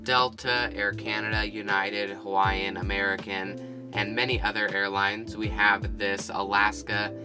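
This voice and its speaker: Low-voiced guy, kinda sick, hyped narrating his model airport, guitar music playing behind. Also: little pitch movement, a little slow. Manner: enthusiastic, listing aircraft and routes. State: a bit sick.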